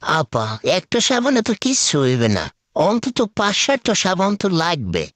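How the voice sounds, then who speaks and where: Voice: mid-range voice, wildly swooping pitch, normal speed, a bit bright, dry recording. An actor puts on a scruffy male crow sidekick from a comic cartoon, servile and forever aggrieved. The voice swoops widely as it pleads in rustic, colloquial talk. It is recorded dry and close. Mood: whining.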